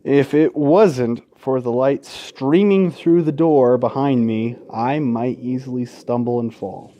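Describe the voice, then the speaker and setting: A man's voice: mid-range voice, slow pace, dark tone. A man hosting a let's play in an informal, sweary way, mixing casual gaming commentary and asides with game text read aloud.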